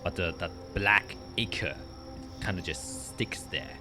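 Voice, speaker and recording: A man narrating a tabletop roleplaying game as game master, descriptive and halting as he thinks aloud. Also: deep voice, expressive, music in the background.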